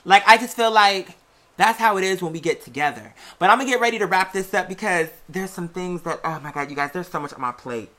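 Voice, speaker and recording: A podcast host chatting casually and sassily to listeners, with theatrical, drawn-out emphasis on key words. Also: mid-range voice, animated, a bit bright. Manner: sassy, chatty, addresses listeners as "girl" and "y'all". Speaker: show host and music artist. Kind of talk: casual conversational monologue to listeners.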